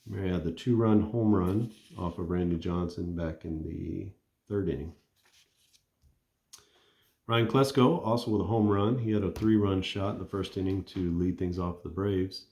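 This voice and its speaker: Low-voiced man calling a dice baseball game. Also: moderate pace, echoey room. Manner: relaxed and conversational, thinking aloud while rolling. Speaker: tabletop game player narrating a replay.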